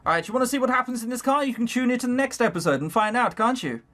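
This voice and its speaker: A man's voice: very high voice, lively intonation, rapid-fire, a bit bright. A man reading a visual novel aloud and throwing in crude, joking asides. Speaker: visual novel let's-player.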